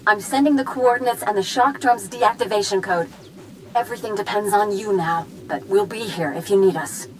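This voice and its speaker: A woman's voice: mid-range voice, moderate pace, natural voice, rough recording. An actress playing an earnest female mission briefer in a science fiction video game, speaking in a serious, instructive way. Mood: focused, supportive. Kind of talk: video game cutscene acting.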